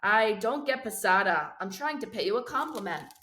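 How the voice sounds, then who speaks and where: A woman's voice: medium pitch, lively intonation, normal speed, sharp tone. Woman acting an outspoken aunt, effusive, gushing, blunt, storybook character, echoey room. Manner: gushing, blunt, mixes in Spanish words. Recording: roomy, echoing sound.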